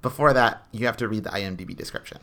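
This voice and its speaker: A man's voice: fairly low pitch, racing, fairly bright tone. A man co-hosting a podcast in casual banter, with a dry, wry sense of humor. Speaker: podcast co-host.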